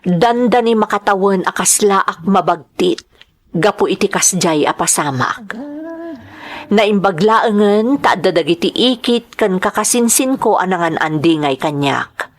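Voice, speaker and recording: A woman's voice: medium pitch, unhurried, natural voice. A woman acting an emotional, wronged wife and mother in a radio drama, with an Ilocano accent. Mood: sorrowful, resolute. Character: distressed wife and mother, wronged by her husband. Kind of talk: radio drama acting and first-person narration.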